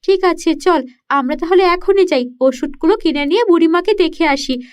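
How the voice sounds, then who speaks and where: Woman voicing a very high-pitched earnest village girl. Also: normal speed. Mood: eager. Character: earnest, kind-hearted poor village girls, young friends chattering. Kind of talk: children's cartoon voice acting.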